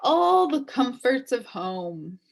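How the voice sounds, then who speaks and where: A woman's voice: a little high, very animated, slow, vocal fry, pitched above the performer's own voice, heavily acted, poor audio. A woman voicing a pompous, officious male policeman and judge in a storybook, declaiming with cheerful menace. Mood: smug, cheerful. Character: pompous, brisk policeman who is judge and jailer.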